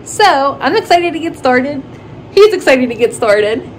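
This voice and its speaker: Young female fitness vlogger, fairly high voice, excitable casual delivery, phone at arm's length with noticeable background noise. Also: a little slow. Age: young adult. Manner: bubbly, excitable, emphatic. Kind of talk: casual chat to camera. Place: mostly inside a car, also outdoors and a small room.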